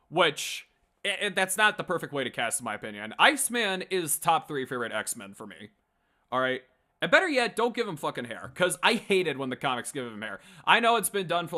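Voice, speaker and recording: A man's voice: on the high side, sharp tone. A man giving casual, rambling fan commentary as a livestream host, blunt and prone to swearing. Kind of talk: casual opinionated fan commentary to a live chat.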